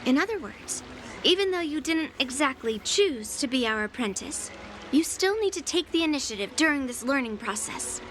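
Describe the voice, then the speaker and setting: Game role: confident young girl swordmaster, high voice, brisk frank teasing delivery. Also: expressive. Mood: instructive. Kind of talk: video game voice acting. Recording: game music behind the voice. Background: game background music.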